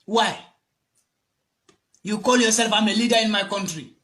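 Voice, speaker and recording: A man's voice: high voice, moderate pace, pushed and bright. A young man with a West African accent giving an impassioned, emphatic and repetitive political monologue, exhorting his listeners. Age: young adult. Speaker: political activist addressing African youth.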